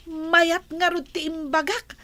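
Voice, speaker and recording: A woman's voice: very high-pitched, sharp tone, heavily acted. A woman playing a sly, scheming woman who defers to her boss in an Ilocano radio drama.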